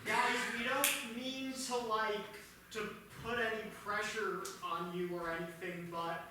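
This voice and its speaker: A man's voice: high voice, steady tone, measured pace, pushed and bright, exaggerated voice. An improviser playing a whiny man with a childlike voice, on a live comedy stage. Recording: echoey room, far mic, noisy.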